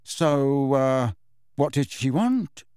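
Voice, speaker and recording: A man voicing a blunt, excitable teenage boy in an audiobook, mocking and quick to be indignant. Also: fairly high pitch, no room sound, clean studio sound. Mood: eager, teasing.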